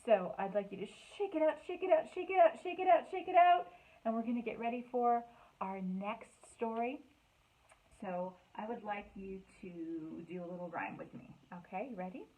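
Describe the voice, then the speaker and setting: A woman hosting a children's storytime, reading aloud and chatting in an animated, encouraging way with simple words for young listeners. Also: fairly high pitch, normal speed, narrow, tinny audio. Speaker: children's librarian and storytime host.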